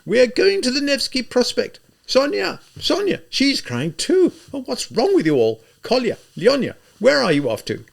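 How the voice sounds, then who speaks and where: A man reading aloud plays a proud widowed gentlewoman on the edge of collapse, her voice pushed far up and loud. She sounds haughty and shrill at once, swooping wildly in pitch, with a gravelly catch under it. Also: huge pitch swings, moderate pace, few pauses, exaggerated voice. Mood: shrill outrage.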